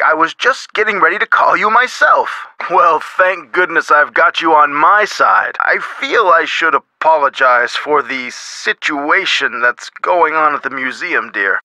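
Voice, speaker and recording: An actor playing a genial, avuncular middle-aged lawyer in a video game, talking in a chummy, theatrical way with folksy turns of phrase. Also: male voice, fairly high pitch, pushed and bright, no room sound, poor audio. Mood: warm, chatty.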